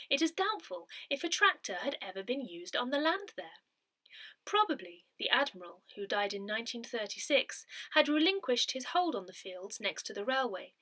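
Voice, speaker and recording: A woman narrates written articles aloud as a newsletter reader. Her voice sits a little high and has a bright edge. Her intonation moves in a lively, animated way through the scripted text.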